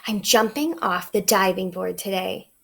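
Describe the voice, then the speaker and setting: A woman's voice: medium pitch, measured pace, gravelly. A woman reading the part of a young storybook boy who puts on confidence while secretly nervous and stalling. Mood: boastful.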